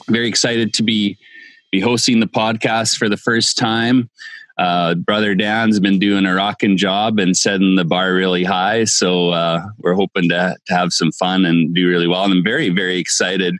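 A middle-aged man hosting a podcast in casual, halting speech that often repeats words. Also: on the low side, fairly bright tone. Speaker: podcast host, farmer.

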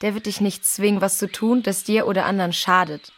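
A woman playing a kind, level-headed girl who reasons calmly and earnestly in a children's audio drama. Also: medium pitch, a little fast, a little hiss or hum, close to an everyday voice. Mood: firm, advising.

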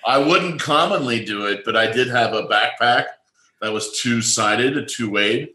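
A man co-hosting a casual chat who tells relaxed anecdotes. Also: mid-range voice, normal speed, a little hiss or hum. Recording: roomy echoey mic.

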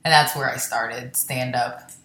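A young woman stand-up comedian from Florida, chatting casually and rambling with quick self-deprecating asides. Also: rumbling low, fairly even intonation, fairly bright tone, roomy sound. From Florida, born in Baltimore. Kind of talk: casual interview chat.

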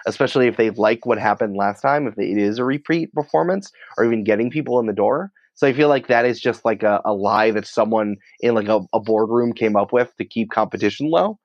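A man's voice: fairly low pitch, fairly quick, hardly any pauses, no room sound. Man chatting informally, slightly muffled audio. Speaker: podcast producer and writer, interview guest. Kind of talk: casual interview conversation.

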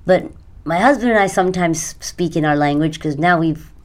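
A woman's voice: low voice, normal speed. A middle-aged woman from India, a native Gujarati speaker, answering earnestly as an interview guest in a conversational way. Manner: earnest spiritual talk. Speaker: podcast guest.

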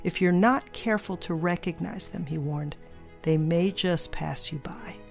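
A woman host reading a scripted narration in a measured, deliberate way. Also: lowish voice, creaky, soft background music.

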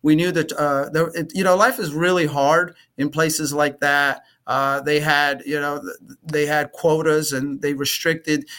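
A man in his forties, a guest on an interview podcast, answering earnestly with halting restarts. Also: a little high, level tone, fairly bright tone. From Romania, raised in Atlanta. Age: 40s.

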